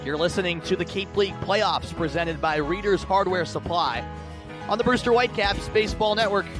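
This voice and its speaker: A man's voice: high voice, a bit bright. A man doing live radio play-by-play, describing the action in detail and lifting his intensity for big plays. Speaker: play-by-play sports announcer. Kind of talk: live radio sports commentary. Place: outdoors at a ballpark or sports field. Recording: live outdoor broadcast with faint background noise.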